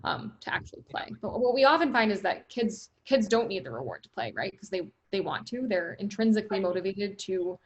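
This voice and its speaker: A woman with a loud voice, speaking as a company guest in an interview, upbeat, laughing often and leaning on "right?" and "you know.". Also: medium pitch, lively intonation, fairly quick, few pauses, lo-fi sound. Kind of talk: conversational interview.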